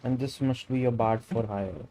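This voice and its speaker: A man's voice: medium pitch, steady tone, normal speed, dark tone, poor audio. A man giving playful, casual gaming commentary as a streamer, mixing Hindi and English.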